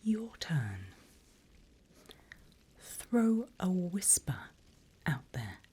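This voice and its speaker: A woman whispering an ASMR roleplay as a seasoned demon mentor, slow and deliberate, with playfully sly menace, close to the microphone. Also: on the low side, very animated, mellow, slightly rough.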